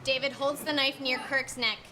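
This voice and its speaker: A woman's voice: high voice, steady tone, moderate pace, pushed and bright. A woman narrating scripted stage directions at a live staged reading. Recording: live stage recording with clear noise.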